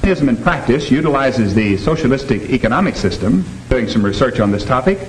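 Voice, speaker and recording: A man's voice: medium pitch, expressive, brisk, natural voice. A man lecturing formally and emphatically as a teacher in a 1950s educational film, on a noisy old soundtrack. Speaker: teacher leading a classroom discussion.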